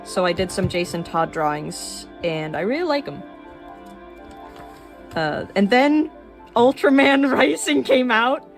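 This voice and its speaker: A woman of twenty-one chatting casually in a rambling way while showing her sketchbook, with fillers and quick laughs. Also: on the high side, lively intonation. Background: soft background music.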